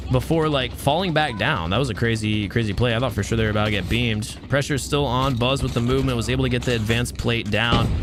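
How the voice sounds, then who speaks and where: A young man calls a mobile shooter tournament live for his stream audience. His voice sits at a middle pitch, relaxed and soothing, and he talks casually, addressing chat and restarting his phrases as the match plays out. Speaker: esports tournament caster. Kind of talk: live stream esports commentary.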